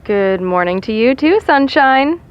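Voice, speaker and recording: A woman's voice: high voice, a little slow, smooth. An actress playing a dry, teasing young woman calling a friend on the phone. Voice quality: wry, deadpan teasing. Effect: phone call. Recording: muffled phone-line sound.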